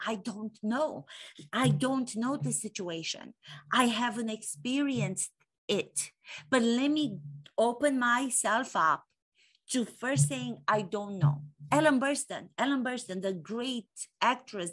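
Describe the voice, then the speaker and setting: A woman's voice: fairly high pitch, fairly bright tone. A woman in her forties with a Romanian accent, an acting coach giving a fervent monologue full of rhetorical questions and repeated phrases. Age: 40s. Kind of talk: impassioned monologue to camera.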